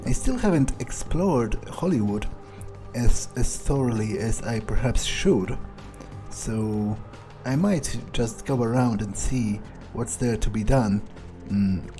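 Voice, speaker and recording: A man with a soft, mellow voice at a middle pitch talks through his plans as an in-character game player. He muses hesitantly and keeps things understated, with game music playing behind him. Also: slow. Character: calculating, detached vampire mercenary for hire. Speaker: player voicing their character in a let's play. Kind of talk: in-character gameplay commentary, thinking aloud.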